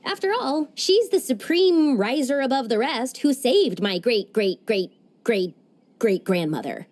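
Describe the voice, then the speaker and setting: A woman's voice: fairly high pitch, sing-song, unhurried. An earnest young tea-farm girl. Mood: wistful. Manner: earnest, wistful. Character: young woman from a strict tea-farming family. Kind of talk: game cutscene voice acting. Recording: soft game music underneath.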